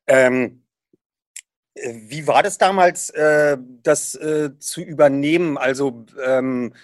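A man's voice: fairly high pitch, takes it slowly. A man hosting a podcast who reads a book passage aloud and then interviews a guest in a casual, eager way.

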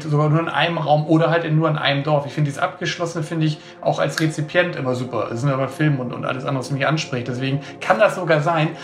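A man's voice: fairly high pitch, a bit bright, roomy sound. A man who is an author talks casually in a rambling, chatty way. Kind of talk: casual interview talk.

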